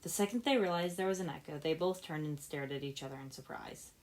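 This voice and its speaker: A woman's voice: sits low, quick pace, a little mellow. A woman narrating a story as a scripted reading, moving between narration and dialogue. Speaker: fan fiction narrator, podfic reader.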